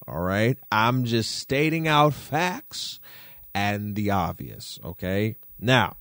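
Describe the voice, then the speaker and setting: A podcast host from Detroit doing solo commentary, roasting people in a slangy, playful way with lots of repetition. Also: male voice, fairly low pitch, animated, takes it slowly, fairly bright tone, no echo, studio quality.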